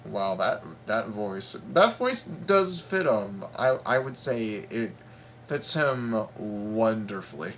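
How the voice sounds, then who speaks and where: A man gives casual reaction commentary in a slow, fairly low voice. He stammers and repeats words as he goes, with faint noise in the background. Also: expressive, a bit bright. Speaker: reaction video host.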